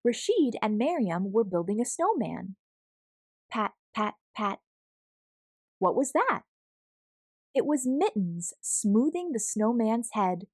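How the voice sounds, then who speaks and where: A woman reads a picture book aloud for children. Her delivery is expressive and deliberate, with a very lively melody and an unhurried pace. The sound is dry and close. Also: a little high, no echo. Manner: animated, deliberate storytelling with acted sound words. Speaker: storybook read-aloud host.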